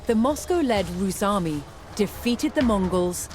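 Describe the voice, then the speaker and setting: A woman reading scripted historical documentary narration in a measured, dramatic way with deliberate pauses. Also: mid-range voice, lively intonation, a little mellow. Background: music.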